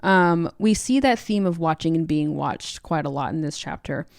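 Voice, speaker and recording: Low-pitched woman in casual discussion. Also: lively intonation, moderate pace, gravelly, a little mellow, no room sound, clean studio sound. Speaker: podcast co-host.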